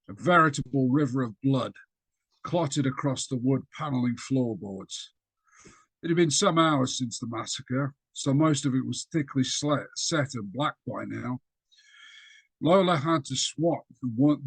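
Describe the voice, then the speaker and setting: A man reading an extract of his own fiction aloud over a video call, with a steady storytelling delivery and small slips. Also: medium pitch, breathy edge, no room sound.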